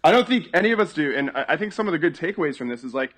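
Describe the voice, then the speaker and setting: A man's voice: on the high side, expressive, racing, fairly bright tone, no echo. A middle-aged man in casual podcast conversation, talking animatedly in long run-on sentences. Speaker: interior designer.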